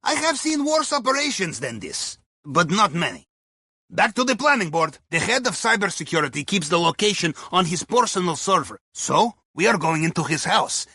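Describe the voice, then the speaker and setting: A man playing a wry Russian submarine navigator and heist advisor in a video game, with a Russian accent, dry dark humor and dropped articles. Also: high voice, slightly rough, dry recording, exaggerated voice. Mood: wry amusement, then pleased, businesslike. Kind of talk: video game mission dialogue.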